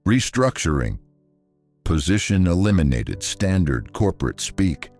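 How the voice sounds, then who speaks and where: A man playing a principled, steady father and long-serving employee, firm and restrained, in a narrated story. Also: low-pitched, a little slow, soft background music, heavily acted. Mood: guarded.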